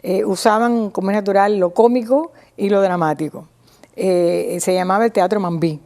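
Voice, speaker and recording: Cuban woman explaining in halting phrases. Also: medium pitch, fairly even intonation, darkish tone. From small town in Cuba. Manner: didactic, halting, checks with listener using tag questions. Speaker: guest speaker on Cuban theatre history. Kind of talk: informal explanatory talk.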